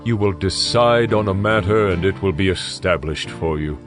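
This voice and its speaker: A man's voice: low voice, moderate pace, music in the background, natural voice. A man playing an admonishing biblical counsellor who urges repentance in a declamatory, preaching way, for a dramatized audio Bible. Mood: earnest exhortation.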